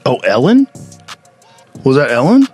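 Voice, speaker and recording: A man's voice: mid-range voice, huge pitch swings, a little slow, a little mellow, slightly rough, soft background music. A man chatting casually in conversation. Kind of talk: casual chat.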